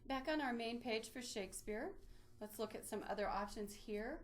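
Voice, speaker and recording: A woman presenting an instructional software walkthrough, explaining methodically step by step in a lightly improvised way. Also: on the high side, moderate pace. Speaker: presenter of a library database tutorial.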